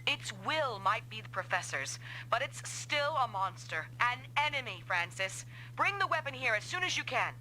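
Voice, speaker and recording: High, lively commanding woman pilot. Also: moderate pace, heavy background noise. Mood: stern. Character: commanding female mech pilot team leader. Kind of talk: scripted anime-style game dub acting.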